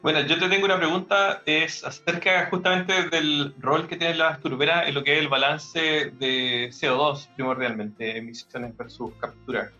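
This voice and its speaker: Bright-voiced man asking halting questions. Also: a little high, fairly even intonation, lo-fi sound, faint music behind. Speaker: radio co-host.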